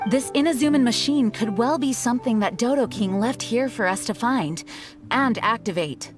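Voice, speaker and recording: A woman's voice: on the high side, normal speed, music in the background, lightly acted. A woman voicing a caring, composed young female knight and leader in a video game. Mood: thoughtful. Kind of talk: game voice acting.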